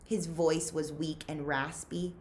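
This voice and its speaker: A woman reading a story aloud to young listeners in an expressive, deliberate, suspenseful storytelling manner. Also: low voice, slight background noise. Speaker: librarian reading aloud to children. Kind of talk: expressive read-aloud storytelling, pausing to ask listeners questions.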